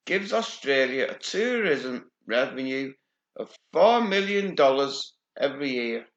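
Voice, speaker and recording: A sardonic man reads news articles aloud at a slow, deliberate pace, cutting in with dry, mocking remarks. His voice sits in a roomy space on a dull, lo-fi home recording. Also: medium pitch, fairly bright tone. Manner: dry, sardonic, swears casually. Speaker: channel host. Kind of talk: reading news aloud with sarcastic commentary.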